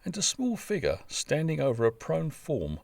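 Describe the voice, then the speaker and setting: A man's voice: fairly high pitch, huge pitch swings, normal speed, vocal fry, no room sound. A man reading a scripted travel narration in a wry, wordy, descriptive manner. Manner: wry, dry-humoured, wordy descriptive delivery.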